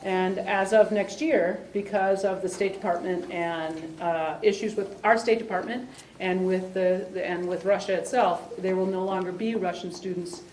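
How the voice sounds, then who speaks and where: A woman coordinating an exchange program, speaking to a meeting in a halting, rambling way full of fillers and restarts. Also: on the low side, steady tone, a little mellow. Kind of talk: presentation to a meeting. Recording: roomy sound from a distant mic, faint hiss.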